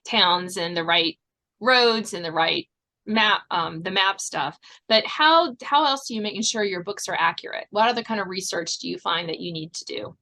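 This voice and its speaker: A woman's voice: mid-range voice, bright, forceful tone. An American woman from Virginia hosting a podcast, chatting casually in an encouraging, talkative way. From southern Virginia, United States. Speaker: podcast host. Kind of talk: casual interview chat.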